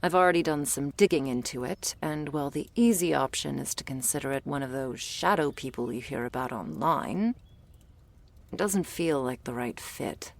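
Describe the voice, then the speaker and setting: A woman playing a jaded, prickly monster hunter in a roleplay audio drama, blunt and sardonic, with a low gravelly voice. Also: moderate pace. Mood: grudging, weary.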